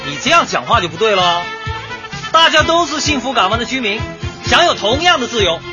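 An actor playing a cocky, argumentative young DJ with dyed hair in a light comedy radio drama. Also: male voice, extremely high pitch, very animated, brisk, lo-fi sound, music in the background. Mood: defiant, argumentative. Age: young adult. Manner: brash, glib, protesting, lecturing.

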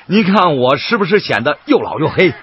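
A man's voice: a little high, big pitch swings, moderate pace. An actor playing an earnest, good-hearted middle-aged boatman with a folksy, chatty manner in a radio drama. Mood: jovial. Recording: muffled lo-fi broadcast with music behind.

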